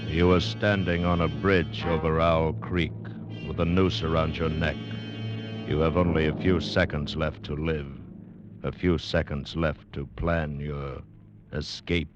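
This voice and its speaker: An actor playing an ominous narrator of doom in a 1940s radio suspense teaser, slow and portentous. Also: male voice, rumbling low, little pitch movement. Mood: menacing. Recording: dull old radio recording under music.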